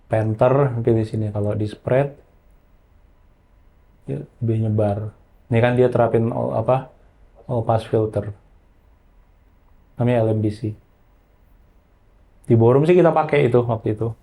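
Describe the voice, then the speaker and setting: Man, mellow relaxed tone, mid pitch, informal software trainer, colloquial explanatory delivery. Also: a little slow, vocal fry, pauses often. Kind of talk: informal hands-on software tutorial.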